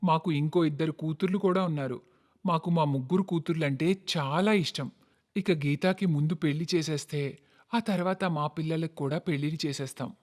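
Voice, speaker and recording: Man as a high-pitched, earnest family father. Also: a little fast, a little mellow, natural voice. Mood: earnest, subdued. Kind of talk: animated moral-story dialogue.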